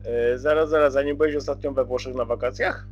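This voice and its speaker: A man's voice: mid-range voice, steady tone, quick pace, faint music behind. A man chatting courteously and casually as a radio operator, using radio jargon. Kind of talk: casual radio contact chat. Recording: dull lo-fi CB radio audio.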